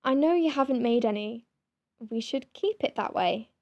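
A woman's voice: on the high side, lively intonation, moderate pace, dark tone, no room sound, highs cut off. A woman playing a proud senior space-clinic doctor in an audio drama, formal, clipped and literal-minded, stiff but kind. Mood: stiff, apologetic.

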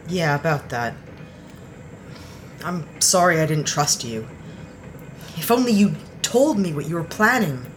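Woman acting an animated, flustered human spacefarer. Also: low voice, a little hiss or hum. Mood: sheepish. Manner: hesitant, flustered, halting. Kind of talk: naturalistic sci-fi audio drama acting.

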